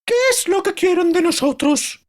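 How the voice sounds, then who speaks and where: A man voicing a frightened, protesting woman in a fantasy audiobook, crying out and pleading. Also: normal speed. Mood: distressed. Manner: shrill, pleading cries.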